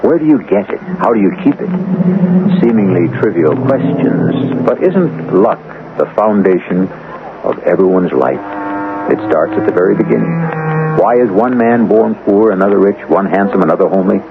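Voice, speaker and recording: A low-voiced man narrates a radio mystery as its host. His theatrical, portentous delivery rises and falls dramatically. It comes through a narrow old broadcast sound with music. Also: lively intonation, moderate pace, gravelly. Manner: portentous, rhetorical.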